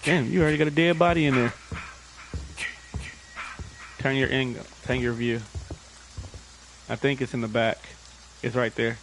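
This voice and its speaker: A man's voice: medium pitch, steady tone, moderate pace, vocal fry, pauses often, poor audio. A man chatting casually and giving slangy, laid-back instructions during a video game. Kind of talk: casual chat while coaching a video game. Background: music.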